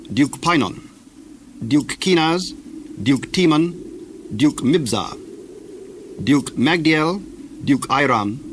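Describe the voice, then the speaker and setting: A man narrating scripture solemnly from a script, in a measured, deliberate way with pauses between phrases. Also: fairly high pitch, fairly bright tone. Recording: older recording with faint background noise.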